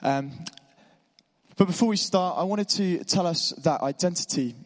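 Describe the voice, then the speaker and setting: A man preaching a sermon in church, encouraging and informal, his voice a little hoarse from being unwell. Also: sits high, normal speed. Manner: warm, encouraging, informal with asides. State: slightly hoarse voice. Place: church hall. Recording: long hall reverb.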